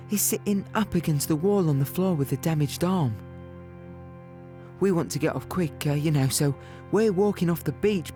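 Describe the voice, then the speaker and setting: An actor playing a plainspoken, weary infantryman in an audio play, reminiscing in a halting, reflective, conversational way. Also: high voice, a little mellow. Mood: sombre. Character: young British infantryman recounting war, plainspoken, weary, wistful. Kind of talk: audio play monologue, reminiscing in present tense.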